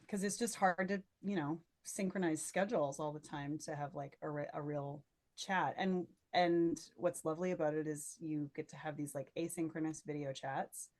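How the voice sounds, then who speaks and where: An American woman telling stories in an expressive, informal way as a podcast co-host, often saying "like". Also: sits low, moderate pace. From United States. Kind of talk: casual chat, storytelling.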